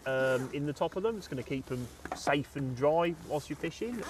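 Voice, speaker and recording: Steady British man explaining outdoors. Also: fairly high pitch, normal speed, slight background noise. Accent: British English. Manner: steady, explanatory, talks in prices and uses. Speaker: presenter. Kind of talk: casual review chat. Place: outdoors on a lake bank.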